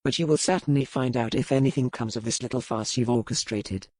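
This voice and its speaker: Male actor as a cold, sardonic earl for romance audiobook; deliberate, menacing, dry close sound. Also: mid-range voice, a little mellow, no room sound, natural voice. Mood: cold threat. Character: cold, sardonic, menacing young English earl, dangerous rake. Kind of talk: audiobook character acting.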